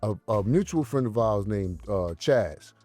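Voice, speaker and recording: A man's voice: lowish voice, expressive, measured pace, mellow, faint music behind. A man telling the story of a fight in a casual interview, heated and boastful, leaning on fillers.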